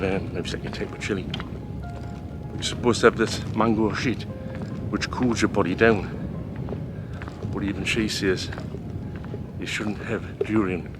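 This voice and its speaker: An older man chatting casually as a travel vlogger, walking a long pier outdoors and making wry asides. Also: lowish voice. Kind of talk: casual travel vlog narration.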